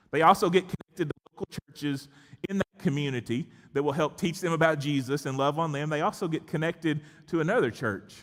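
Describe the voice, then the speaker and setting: A man speaking as a pastor to his church, conversational and emphatic, telling personal anecdotes in a church address. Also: on the high side. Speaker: pastor leading a worship service. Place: large church sanctuary. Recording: echoey church hall with long reverb.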